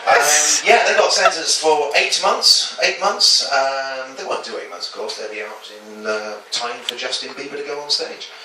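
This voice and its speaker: A man doing a topical stand-up set in a small comedy club, self-deprecating and stumbling into quick asides. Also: mid-range voice, background noise. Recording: echoey club from a distance with chatter.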